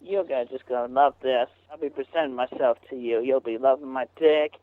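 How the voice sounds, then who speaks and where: A man on a phone call, talking haltingly with many fillers and restarts. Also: medium pitch, a little hiss or hum. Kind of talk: rambling phone conversation. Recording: tinny phone line.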